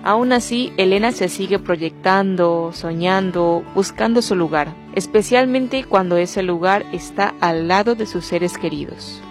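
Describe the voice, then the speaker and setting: A woman reading a scripted radio feature narration with a clear, composed delivery. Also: mid-range voice, unhurried, hardly any pauses, faint music behind.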